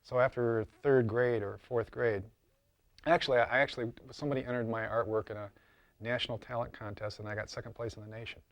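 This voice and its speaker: An older man explaining his craft in a casual interview, haltingly and often repeating words. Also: mid-range voice, fairly even intonation, dry recording. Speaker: interviewed prop and mask maker.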